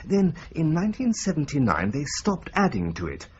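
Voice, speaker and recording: An actor playing a precise, wry accountant who explains figures step by step in a radio drama. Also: male voice, a little high, huge pitch swings, normal speed, a little mellow, husky, exaggerated voice. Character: dry precise male accountant. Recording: dull lo-fi audio with faint hiss.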